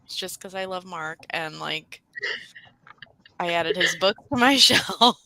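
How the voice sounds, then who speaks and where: A woman's voice: lowish voice, unhurried, pushed and bright, slight background noise, low-quality recording. A woman co-hosting a casual online livestream from her bedroom over a video call. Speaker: co-host of online reading sprints.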